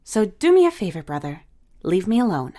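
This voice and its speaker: A woman's voice: medium pitch, big pitch swings, fairly quick, warm tone, close to an everyday voice. A woman voicing a bossy, hot-tempered teenage sister in a story, snappy, whiny and sarcastic. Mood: dismissive.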